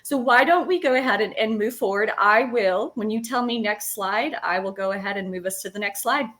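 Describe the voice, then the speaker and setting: A woman's voice: medium pitch, a little fast, a bit bright. A woman hosting an online interview, introducing her guest in an upbeat, polite way and guiding the slides. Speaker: webinar host.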